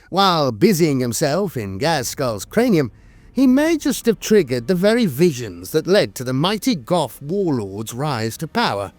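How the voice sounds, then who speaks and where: A man's voice: a little high, darkish tone, dry recording. A man reading scripted narration like a dramatic storyteller, with a wry, theatrical delivery. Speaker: lore narrator.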